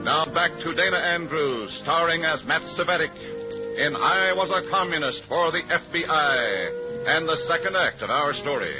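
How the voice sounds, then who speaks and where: A man's voice: on the high side, lively intonation, moderate pace, long pauses. A man announcing a radio drama in 1950s broadcast style. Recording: dull vintage recording over loud music.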